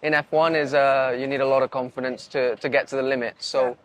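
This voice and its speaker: A young man from Barbados, a racing driver, answering interview questions in a relaxed, modest way with many fillers and restarts. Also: medium pitch, a little fast.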